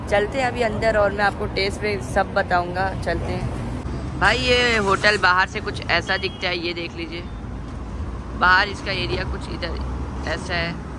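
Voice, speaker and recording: A teenage boy chatting casually to viewers as a food vlogger, filming himself on a phone held at arm's length outside at night. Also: high voice. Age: teens. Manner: chatty, addresses viewers as brother. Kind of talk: casual vlog narration. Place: outdoors on a city street at night, traffic around. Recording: phone video with loud street noise.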